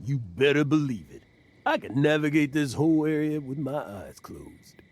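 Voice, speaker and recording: A man's voice: fairly high pitch, expressive, normal speed, darkish tone. A man playing an aging, boastful adventurer haunted by guilt, in a dramatic video game performance. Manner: dramatic, emotional delivery. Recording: music behind the voice. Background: background music.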